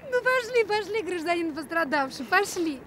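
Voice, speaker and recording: Very high-pitched teasing woman. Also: animated, normal speed, a bit bright, slight background noise. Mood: amused. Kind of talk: radio play acting.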